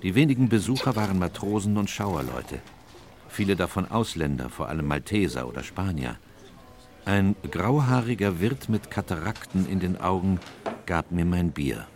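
An actor playing a loyal, worried Victorian gentleman who recounts events in an earnest, measured way for a radio drama. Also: low voice, animated. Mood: uneasy narration. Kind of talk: radio drama narration and dialogue. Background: music.

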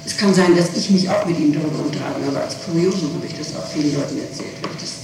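A woman's voice: sits low, rapid-fire. A woman answering questions in an interrogation, repeating and rephrasing as she goes, on an old 1960s tape recording. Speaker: person being questioned in an interrogation. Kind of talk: interrogation testimony, spontaneous answers. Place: echoey room, recorded from a distance. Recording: noisy, echoey 1960s tape, distant microphone.

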